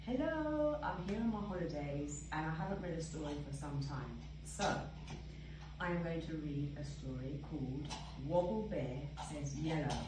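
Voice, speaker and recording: A woman's voice: sits low, normal speed, background noise. A middle-aged woman reading a children's story aloud expressively, in an echoey room far from the mic. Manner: expressive, asks the listeners questions. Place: small tiled living room indoors. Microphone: phone camera a few metres away.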